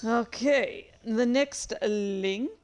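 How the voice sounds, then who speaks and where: A woman's voice: on the high side, very slow, a little mellow. Chatty, easily sidetracked woman streamer. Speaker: livestream host and streamer. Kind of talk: casual rambling livestream chat to viewers.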